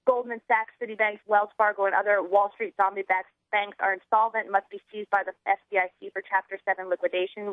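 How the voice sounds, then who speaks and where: A young American woman giving earnest political talk as an activist guest, halting and restarting as she goes. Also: mid-range voice, dry recording. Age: young adult. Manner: rambling, halting with false starts. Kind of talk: earnest political talk, partly reading out a platform. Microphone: telephone. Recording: narrow tinny phone line.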